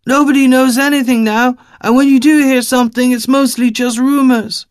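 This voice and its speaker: Brief: cursing, frightened street woman, as a male narrator does her, shrill, dry close sound. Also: steady tone, normal speed, smooth, no room sound. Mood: exasperated. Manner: shrill and exasperated. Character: scared, hostile homeless woman, snapping and cursing.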